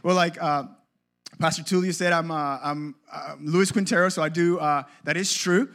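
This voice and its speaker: A man's voice: a little high, steady tone, normal speed, fairly bright tone. A man in his thirties from Colombia, a creative ministry leader giving a casual, joking workshop talk with many fillers and restarts.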